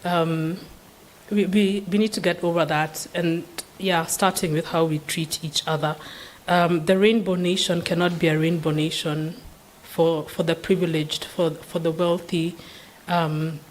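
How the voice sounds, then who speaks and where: A woman's voice: lowish voice, steady tone, measured pace, slight background noise, poor audio. A woman speaking as a seminar panelist, giving an unscripted answer in an earnest, questioning way with frequent restarts. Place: seminar room.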